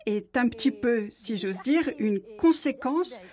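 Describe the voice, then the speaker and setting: Slow, lilting woman choosing words carefully. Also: a little high, lively intonation, a little mellow. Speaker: Arabic teacher, dinner guest. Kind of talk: table conversation. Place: dinner table indoors.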